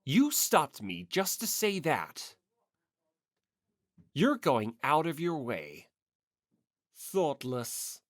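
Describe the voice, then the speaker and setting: Narrator putting on a low, haughty rich teenage boy. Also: huge pitch swings, very slow, long pauses, no echo, clean studio sound, full character voice. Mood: disdainful. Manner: pompous, disdainful.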